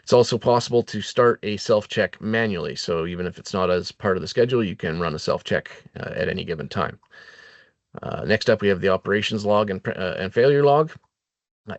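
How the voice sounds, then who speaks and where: A man giving an explanatory product training presentation as a webinar presenter, with halting phrasing and frequent filled pauses. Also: lowish voice, no echo, dull audio.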